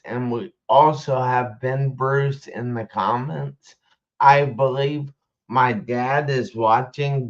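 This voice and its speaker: Slow-talking man hosting, dull lo-fi audio. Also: mid-range voice. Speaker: live show host. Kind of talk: casual live-stream interview.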